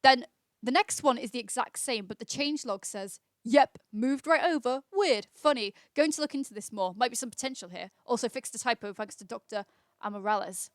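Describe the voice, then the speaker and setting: A woman's voice: a little high, animated, a little fast, no echo, clean studio sound. A woman hosting a casual livestream, reading aloud in an informal, chatty way. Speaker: livestream host. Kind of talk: casual livestream read-aloud with chat replies.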